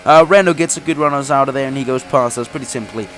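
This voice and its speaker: A man's voice: mid-range voice, fast talker, fairly bright tone, faint music behind. A young man who says he is sick, chatting through his racing game career race, laughing often and restarting his sentences. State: sick, voice a little off. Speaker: gaming YouTuber.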